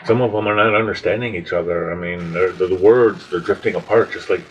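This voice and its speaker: A man voicing a weary, resolute leader of an ancient tribe in a storytelling, halting and earnest. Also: sits low, steady tone, close to an everyday voice. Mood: troubled, earnest. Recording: dull lo-fi audio with background noise.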